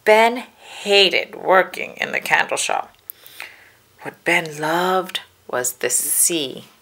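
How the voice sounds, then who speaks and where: Creaky woman reading a storybook. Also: fairly low pitch, animated, slow, bright, forceful tone. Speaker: children's read-aloud narrator. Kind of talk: storybook narration.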